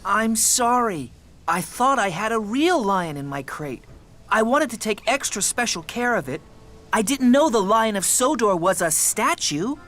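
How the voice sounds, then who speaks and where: A woman voicing an eager, earnest young male steam engine in a children's cartoon, with a polite, excitable manner. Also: medium pitch, measured pace, slight background noise. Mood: apologetic, earnest. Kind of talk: children's cartoon character acting.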